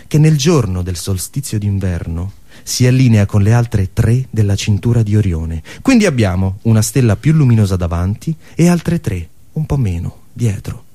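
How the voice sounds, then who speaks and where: A man delivering a theatrical storytelling monologue, posing rhetorical questions and using deliberate dramatic pauses. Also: lowish voice, lively intonation, mellow. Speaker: monologue performer.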